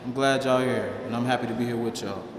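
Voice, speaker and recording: A man's voice: mid-range voice, fairly even intonation, fast talker. Man emceeing in a noisy, echoing hall. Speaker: event host introducing speakers. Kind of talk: informal live emceeing. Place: large echoey hall, live event. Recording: echoey hall with background noise.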